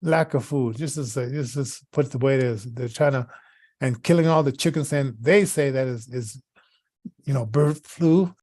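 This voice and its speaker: A man hosting a casual radio talk show, speaking haltingly and often repeating words and phrases as he goes. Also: medium pitch, darkish tone, no room sound.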